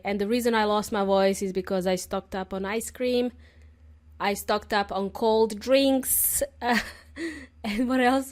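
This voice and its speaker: A woman hosting an English-learning podcast, talking chattily and laughing often, her voice hoarse from a cold. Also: medium pitch, darkish tone. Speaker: podcast host.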